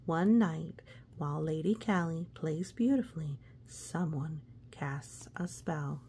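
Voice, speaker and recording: A woman's voice: sits low, slow pace, dark tone, slight background noise. A woman reading a children's story aloud with playful, exclamatory asides, talking as if to a young child. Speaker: picture book reader.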